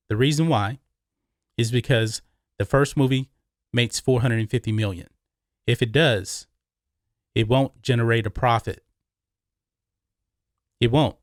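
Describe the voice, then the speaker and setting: Man, American accent, medium pitch, emphatic opinionated commentator, repeats phrases for stress, dry sound with no echo. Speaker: YouTube movie commentary host.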